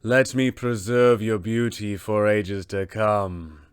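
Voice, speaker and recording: A man voicing an arrogant, merciless winter goddess for a tabletop game, with a grandiose, drawn-out and imperious delivery. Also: fairly low pitch, clear, resonant tone, no room sound, clean studio sound. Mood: haughty, menacing. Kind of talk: tabletop RPG villain monologue.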